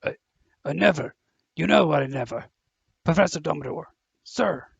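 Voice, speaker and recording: A man playing a gruff but soft-hearted gamekeeper in an audio drama, gravelly and husky, flustered and halting. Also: a little high, animated, no echo, highs cut off, heavily acted. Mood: frightened, pleading. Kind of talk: fan audio drama acting.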